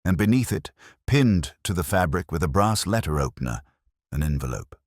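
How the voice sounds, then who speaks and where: A man's voice: low-pitched, animated, moderate pace, no room sound, clean studio sound. A man narrating a scripted mystery story.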